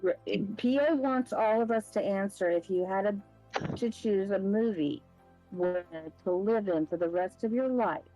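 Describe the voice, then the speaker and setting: A woman's voice: medium pitch, a little slow, mellow, poor audio. A woman co-hosting a livestream, talking casually on an online group call. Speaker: livestream show co-host. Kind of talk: casual chat. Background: music.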